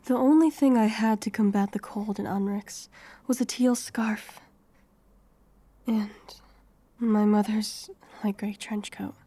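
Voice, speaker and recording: A young woman playing a wary, homeless heroine in her twenties, telling her story softly and with measure in an audio drama. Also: mid-range voice, steady tone, slow pace, husky. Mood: reflective. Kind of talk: first-person audio drama narration and dialogue.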